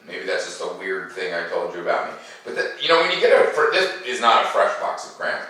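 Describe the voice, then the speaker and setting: A man talking to children like a school teacher, playful and animated, explaining things in simple words. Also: deep voice, brisk, recorded from a distance. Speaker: school teacher hosting a read-aloud for children. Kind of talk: chatty talk to children, then storybook read-aloud. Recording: very echoey room, away from the mic.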